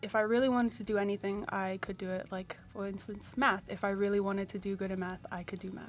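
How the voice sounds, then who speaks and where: A teenage girl from South Africa, a high school student, answering interview questions shyly and softly. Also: level tone, fast. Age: teens.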